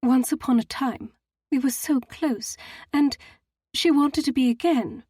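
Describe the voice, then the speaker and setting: A woman's voice: medium pitch, moderate pace, darkish tone, husky, dry recording, studio quality, voice pushed up, natural voice. A woman voicing a distraught young society woman who speaks in a quaking, tearful voice.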